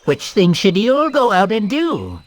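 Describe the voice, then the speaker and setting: Bossy, prissy storybook rabbit: highly animated pitch, irritable, insistent. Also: male voice, measured pace, exaggerated voice. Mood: irritated. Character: fussy, bossy, irritable male rabbit gardener. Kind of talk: children's storybook read-aloud.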